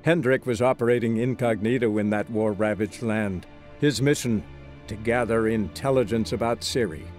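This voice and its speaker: An actor playing a bard who narrates a friend's adventures in a fantasy game, in a measured, dramatic storybook style. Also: male voice, lowish voice, a little mellow, soft background music, close to an everyday voice. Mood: measured storytelling. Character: male bard recounting his monster-hunter friend's adventures.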